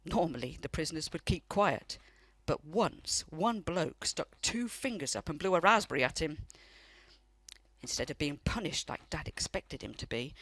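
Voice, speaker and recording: A woman's voice: low voice, no echo, poor audio. An actress in a radio play playing a loving, wistful elderly grandmother who tells family memories in a reflective way. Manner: reflective storytelling.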